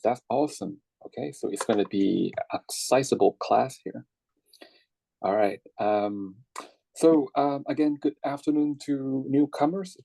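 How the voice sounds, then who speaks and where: A man's voice: mid-range voice, gravelly, dark tone, no room sound, rough recording. A young Thai man, a native Thai speaker, teaching an online English writing class in a chatty way, full of fillers, often checking in with "right?" and "okay?". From Thailand. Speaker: lecturer. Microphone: computer mic on video call.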